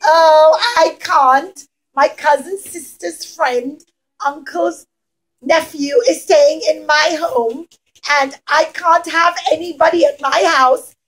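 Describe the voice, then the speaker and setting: A woman's voice: high-pitched, steady tone, fairly bright tone, low-quality recording. A woman voicing an unwelcoming villager in a children's puppet show, giving exaggerated excuses to turn away a guest. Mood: whiny, apologetic. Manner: drawn-out, exaggerated apologetic excuses.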